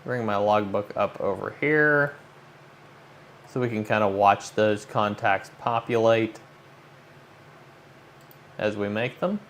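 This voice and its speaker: A man's voice: on the low side, takes it slowly, pauses often, fairly bright tone. Casual man livestreaming over noticeable background noise. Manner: informal, thinking aloud. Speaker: livestream host, amateur radio operator. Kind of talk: casual livestream chat, walking through a demo.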